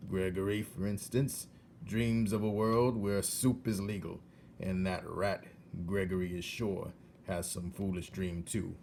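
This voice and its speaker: This is a man acting an old storybook jailer, gruff and solemn. He grumbles in a low voice at a very slow, weighty pace, with faint noise behind him. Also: little pitch movement, darkish tone. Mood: grave warning. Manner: speaks of himself in third person, grave.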